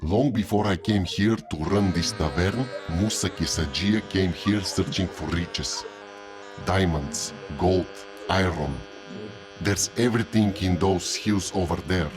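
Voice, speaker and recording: Man acting very deep, slow folksy innkeeper. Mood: grave storytelling. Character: gossipy male innkeeper at a moon tavern. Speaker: voice actor playing the bartender. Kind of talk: audio drama storytelling. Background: music.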